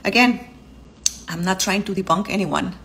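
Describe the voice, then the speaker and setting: A woman's voice: medium pitch, moderate pace, a bit bright, slight background noise. Spanish-accented woman, earnest and explanatory. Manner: earnest, explanatory, lists terms one by one. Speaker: vlogger and doctor. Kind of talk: casual talk to camera. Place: home living room, indoors.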